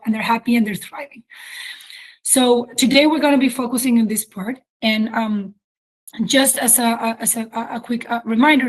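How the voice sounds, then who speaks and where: A woman's voice: fairly high pitch, low-quality recording. A woman with a Chilean accent and Spanish as her first language, hosting a webinar in an informal, explanatory way with hesitant phrasing. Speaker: webinar host, company chief executive. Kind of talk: webinar presentation.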